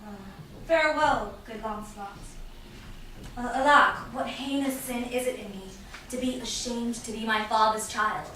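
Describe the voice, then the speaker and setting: Lady as secretive lovesick daughter, troubled, halting shakespeare reading, highish voice, noisy echoey room. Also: pushed and bright, far from the mic. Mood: troubled, yearning.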